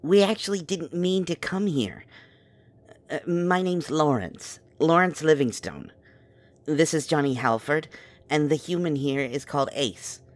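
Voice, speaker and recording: A man playing an exasperated, wheedling fast-talker trying to plead his case in an audio drama. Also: on the high side, animated. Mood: exasperated, explaining.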